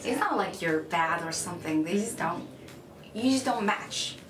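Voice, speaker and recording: A woman's voice: lowish voice, animated, moderate pace, background noise. A young woman from China, speaking English as a second language, answering interview questions in a frank, chatty way at a table. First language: Chinese. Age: young adult. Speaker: interviewee, UX designer. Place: bright room, seated at a table. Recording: echoey room with music under.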